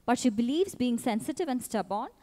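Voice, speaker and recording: A woman announcer reading scripted introductions of pageant contestants in a measured, even way. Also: a little high. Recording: long hall reverb.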